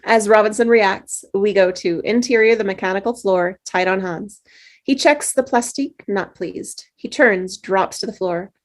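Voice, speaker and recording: Role: narrator. Sex: woman. Style: reading screenplay directions. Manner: steady, plain. Pitch: medium. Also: normal speed. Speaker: stage-direction reader.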